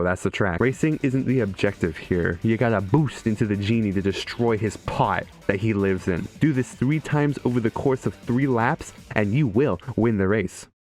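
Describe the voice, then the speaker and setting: A man's voice: on the low side, darkish tone. A man who makes gaming videos, narrating and bantering in an irreverent, sweary, joking way. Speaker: gaming video creator and streamer. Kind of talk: scripted video narration mixed with casual stream banter. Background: music behind the voice.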